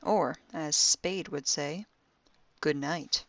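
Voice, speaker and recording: An actress plays a wry, self-styled young woman detective in an audio drama. Her voice sits fairly low, and she talks conversationally with theatrical dryness. The recording is very dry and slightly dull. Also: slow, no room sound. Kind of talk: audio drama monologue as a spoken log.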